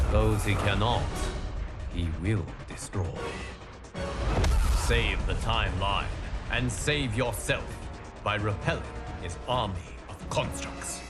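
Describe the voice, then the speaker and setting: A man voicing a solemn, wise guardian god, narrating a fighting game story in grave, formal, measured diction. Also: medium pitch, lightly acted.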